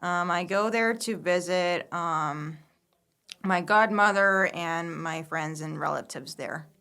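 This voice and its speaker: Woman guest, Hungarian native, low smooth voice, hesitant with lots of ums. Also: slow pace, pushed and bright. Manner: hesitant, many ums, short answers. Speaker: podcast guest. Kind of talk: casual interview.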